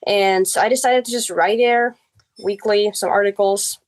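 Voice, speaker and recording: Early-teen female podcast guest, Czech native, Czech-accented English, mid-pitched, casual personal storytelling. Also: steady tone, normal speed. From Czech Republic. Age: early teens.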